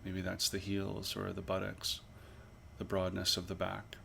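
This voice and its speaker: A man leading a guided body scan meditation as an instructor, with measured, pausing, gently instructive phrasing. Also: low-pitched, completely flat delivery, creaky, slight background noise.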